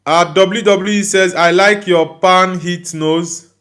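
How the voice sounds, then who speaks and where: A man's voice: high-pitched, steady tone, slow pace, a bit bright. Nigerian man reading live chat comments. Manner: reads viewer comments aloud and answers each one; friendly, often asks for likes and subscribes. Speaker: livestream host reading chat.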